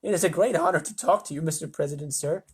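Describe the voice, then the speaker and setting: Man reading as a deferential, high-pitched astronaut. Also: fast, slightly rough. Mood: respectful. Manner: polite, hesitant. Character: deferential, earnest male astronaut Showler.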